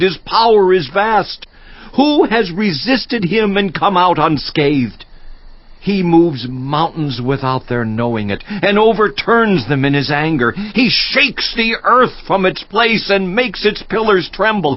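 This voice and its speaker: An actor playing an anguished, suffering man who pleads bitterly with God in a slow, theatrical dramatized scripture reading. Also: high-pitched, no room sound, lo-fi sound. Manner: theatrical, slow, swinging from outcry to near whisper.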